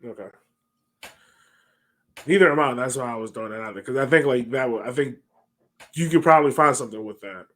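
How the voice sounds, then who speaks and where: American guy in his 30s hosting a sports podcast, easygoing chat, medium pitch. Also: a little fast, pauses often, a bit bright. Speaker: podcast host. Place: home room, indoors, on a video call.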